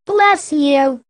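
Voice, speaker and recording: A woman's voice: extremely high pitch, dry recording, full character voice. A woman voicing a silly, playful little male animal in a children's learning game. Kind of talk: children's educational game acting.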